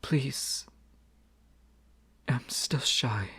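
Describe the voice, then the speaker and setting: A man playing a slow, shy, lovestruck prince. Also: medium pitch, husky, heavily acted. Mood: hushed intimate. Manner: soft, intimate, often whispered. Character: shy young male crown prince, tender and lovestruck. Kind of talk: romantic audio roleplay.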